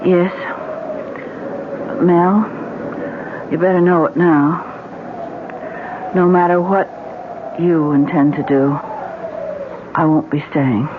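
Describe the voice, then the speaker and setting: An actress with an American accent playing an anxious, pleading wife in an old-time radio drama. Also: female voice, on the low side, steady tone, slow pace, long pauses, noisy background. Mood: firm pleading. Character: anxious, pleading wife urging her husband to leave. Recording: thin, phone-like old radio recording.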